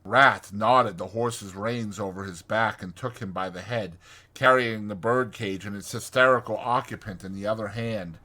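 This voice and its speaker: A man narrating a dramatized audiobook story in a measured, clearly phrased and expressive way. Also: lowish voice.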